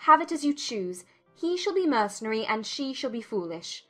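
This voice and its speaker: A woman voicing a witty, defiant young gentlewoman in a dramatized classic novel, speaking in arch, formal, exclamatory phrases. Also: a little high, big pitch swings, normal speed, soft background music, pitched above the performer's own voice, heavily acted. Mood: exasperated, sardonic.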